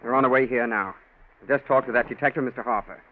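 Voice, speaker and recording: A man's voice: mid-range voice, fast, close to an everyday voice. An actor playing a suspicious, stubborn young man in a 1940s radio drama. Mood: accusing, defiant. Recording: tinny, faintly noisy 1940s radio recording.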